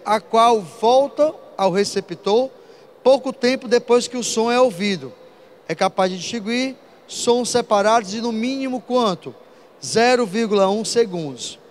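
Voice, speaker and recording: A man teaching an exam-prep physics lesson, talking straight to his students with lively asides. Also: sits high, a little hiss or hum.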